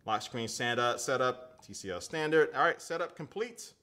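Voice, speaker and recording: A man in his thirties hosts a relaxed tech live stream from a desk studio at home. His voice is bright and forward, with a mid-range pitch. He talks loosely, fills his speech with "like" and "man", and answers chat as he goes. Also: normal speed. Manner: chatty, loose, lots of "like" and "man", reads viewer comments aloud. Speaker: tech reviewer.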